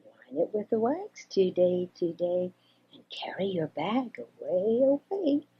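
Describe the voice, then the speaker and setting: A woman's voice: mid-range voice, big pitch swings, takes it slowly, husky, exaggerated voice. An older woman voicing little storybook animals that chant rhyming advice in a singsong way. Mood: singsong, advising. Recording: dull homemade 1980s cassette recording.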